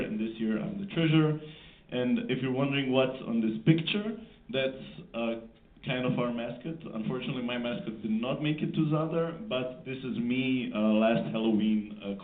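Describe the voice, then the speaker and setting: A young man from Zagreb introducing himself casually, with self-deprecating humor. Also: medium pitch. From Zagreb, Croatia. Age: young adult. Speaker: technical chair. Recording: echoey hall, tinny.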